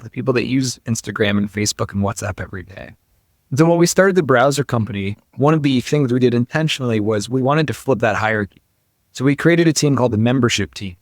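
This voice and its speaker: A man's voice: mid-range voice, no room sound. Guy founder answering interview questions, emphatic n chatty, lots of like and you know, really dry audio. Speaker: company founder and chief executive, interview guest. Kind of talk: conversational interview answers, explaining.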